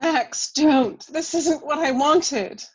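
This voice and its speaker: A woman's voice: high-pitched, slow pace. A woman playing a distressed, guilt-torn woman who pleads in a script table read, on a dull home recording. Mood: pleading.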